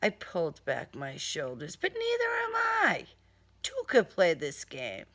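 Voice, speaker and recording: A woman's voice: lowish voice, huge pitch swings, a little slow, a bit bright. A woman reading a crime story in a dramatic first-person style, with a sardonic, theatrical delivery. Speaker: author reading own crime novel.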